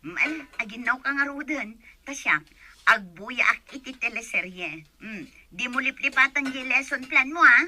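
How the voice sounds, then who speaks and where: A woman's voice: high voice, normal speed, sharp tone, heavily acted. A woman with a Filipino accent acting a chatty, teasing schoolteacher friend in a radio drama.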